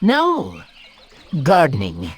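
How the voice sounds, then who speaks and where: Fussy, wildly animated rabbit. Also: male voice, on the high side, very slow, slightly rough, full character voice. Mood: insistent. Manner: emphatic, prissy, insistent. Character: fussy, bossy, irritable male rabbit gardener. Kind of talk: children's storybook read-aloud.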